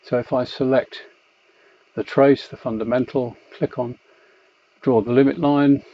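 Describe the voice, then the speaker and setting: A man explaining a technical software demonstration in a methodical, hesitant way, pausing as he goes. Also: mid-range voice, steady tone. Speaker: company executive presenting a product tutorial. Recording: slightly dull, muffled lo-fi voice track.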